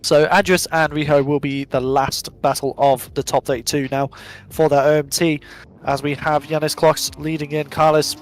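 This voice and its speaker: A man's voice: on the high side, flat delivery, normal speed. A man with an English accent giving live, chatty commentary on virtual drift racing, occasionally stumbling over his words. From England. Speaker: esports commentator. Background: music.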